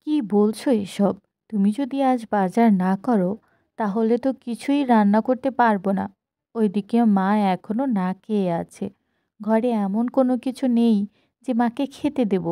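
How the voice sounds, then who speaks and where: A woman's voice: a little high, moderate pace, mellow, natural voice. A woman playing a worried, dutiful village wife in a cartoon, plaintive and pleading. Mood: worried, exasperated. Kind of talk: cartoon voice acting.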